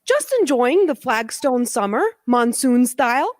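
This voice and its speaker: Actress as breezy, pushy comic supervillainess: high voice, animated swings, dry close sound. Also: female voice, unhurried, dry recording. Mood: gleeful. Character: pushy, breezy female weather supervillain, cheerfully intrusive. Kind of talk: comedic radio play acting over video call. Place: room on a video call.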